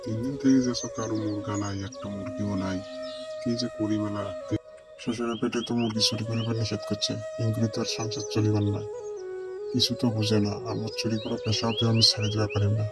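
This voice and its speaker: A man's voice: lowish voice, flat delivery, brisk, loud music behind the voice, lightly acted. A man playing a sly, quarrelsome chicken-thief fox in a village cartoon, talking in a rustic northern Bangladeshi accent. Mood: grumbling. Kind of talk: Bengali village cartoon voice acting.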